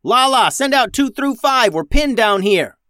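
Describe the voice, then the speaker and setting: Man voicing a bold, high-pitched woman space captain. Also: animated, slow pace, dry recording, studio quality, full character voice. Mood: commanding. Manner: commanding, urgent, yelling. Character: bold, hot-headed female starship captain.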